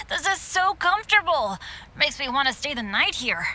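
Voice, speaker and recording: A woman's voice: sits high, big pitch swings, moderate pace, bright, forceful tone, heavily acted. A woman playing an eager, good-natured teenage boy adventurer in an anime game, with a boyish manner. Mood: delighted. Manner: enthusiastic, boyish. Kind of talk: anime game cutscene voice acting.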